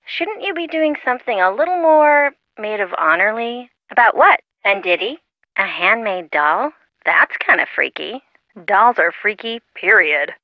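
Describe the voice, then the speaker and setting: A woman's voice: mid-range voice, animated, a little slow, dry recording. An actress voicing a breezy, sardonic teenage American girl gossiping on a phone call in a video game. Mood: breezy, teasing. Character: chatty, teasing teenage American girl, loyal friend.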